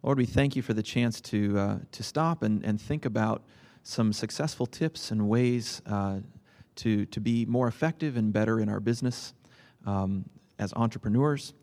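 A man leading an opening prayer at a seminar, speaking haltingly with many fillers. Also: on the low side, darkish tone. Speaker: seminar panelist. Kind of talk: spoken prayer and self-introduction. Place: convention seminar room. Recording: hall reverb.

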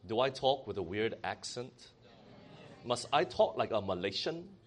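Male preacher, sermon, mid-pitched voice, animated intonation, scripture reading, calls for amen. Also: measured pace. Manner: lively preaching, often calls to the congregation for amen; reads scripture aloud in old-style wording.

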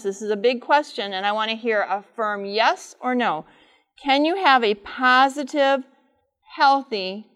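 A middle-aged woman with a loud voice speaks live to a conference audience, teaching and asking them frequent questions. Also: a little high. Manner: says she has a loud voice. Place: large conference room with air conditioner.

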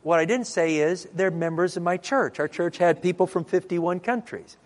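A middle-aged man, a pastor, telling stories in a sermon and acting out the dialogue in a lively way. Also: high-pitched, moderate pace. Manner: lively storyteller who acts out dialogue. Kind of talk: storytelling sermon. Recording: long reverb in a large hall.

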